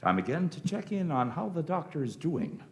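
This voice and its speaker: Creaky, animated man narrating. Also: on the high side, moderate pace. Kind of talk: scripted radio-play narration.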